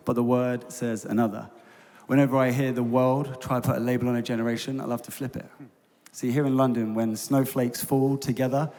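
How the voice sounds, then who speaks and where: A man's voice: medium pitch, darkish tone. Man preaching in a reverberant hall. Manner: preacherly, builds to emphatic rhetorical peaks. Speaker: youth ministry leader. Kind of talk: conference talk, then hosting a panel. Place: large concert hall on stage. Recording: large hall with long reverb.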